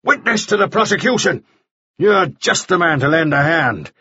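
A man voicing a volatile, bullying gold-rush villain in an audiobook, forceful and sarcastic. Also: a little high, animated, normal speed, slightly rough, no room sound, highs cut off, pitched above the performer's own voice. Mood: insistent.

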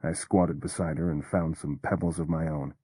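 Deep husky male storyteller. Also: steady tone, moderate pace, mellow, dry recording. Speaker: narrator. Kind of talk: first-person audiobook narration.

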